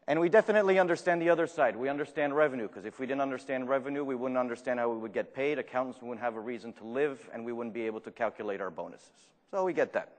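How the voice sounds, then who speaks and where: A man with a French accent and Spanish as his first language gives a live conference talk, joking and putting questions to the audience. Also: mid-range voice. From Argentina. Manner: self-deprecating, jokey, asks the audience questions. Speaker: conference speaker. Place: large conference room. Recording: reverberant hall.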